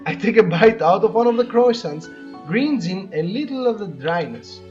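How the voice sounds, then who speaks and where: A man's voice: sits high, animated, normal speed. A man co-hosting a let's play, reading visual novel narration aloud and dropping in casual asides. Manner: corrects co-player's words. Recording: muffled lo-fi audio with music. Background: game music.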